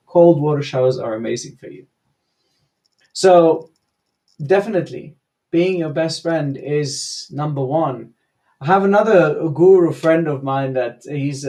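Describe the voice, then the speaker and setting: A man with a somewhat raised, gravelly voice gives earnest motivational advice. His tone is dark and mellow, and he leans on repeated phrases to drive each point home. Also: a little high. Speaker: talk show host. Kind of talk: motivational talk.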